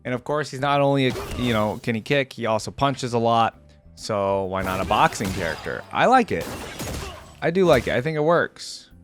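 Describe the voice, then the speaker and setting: A man's voice: medium pitch, animated, moderate pace, soft background music. A man hosting a reaction video, giving casual, conversational game commentary and thinking aloud as he goes.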